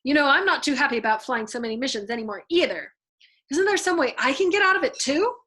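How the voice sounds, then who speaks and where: Female narrator as nervous male airman, high pitch, eager, pleading, rambling, audiobook character. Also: expressive, fast talker, pushed and bright. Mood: reluctant, fretful. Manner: eager, chatty, needy, rambling. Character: anxious, pleading airman, a reluctant family man.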